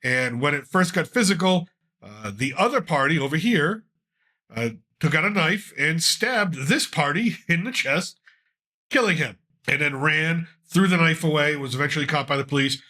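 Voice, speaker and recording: A congested man hosts a casual talk show, his voice bright and a little raised in pitch. He rambles loosely through the news, pausing with frequent fillers as he goes. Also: animated. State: congested from a cold. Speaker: podcast host.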